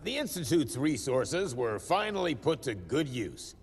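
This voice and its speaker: A smug, sexist executive with a gravelly voice and a boastful manner. His voice has a swooping intonation and rings with long reverb. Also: medium pitch, very animated, a little slow, slight background noise.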